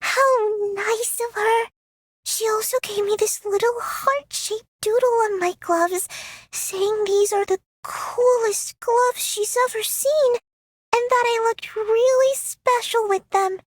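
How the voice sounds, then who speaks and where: Game girl fighter: tiny, very high voice, slow, shy and earnest. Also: dry recording. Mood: quietly happy. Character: shy, earnest young girl fighter, easily amazed by kindness. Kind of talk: scripted game character voice line.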